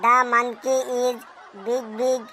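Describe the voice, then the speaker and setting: A woman voicing a cheeky schoolchild in a comic cartoon, answering in a deadpan, literal-minded, politely impudent way. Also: a little high, extremely slow, a little hiss or hum, full character voice. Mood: earnest, halting. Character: cheeky schoolchild, earnest-sounding classroom smart aleck. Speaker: cartoon voice actor.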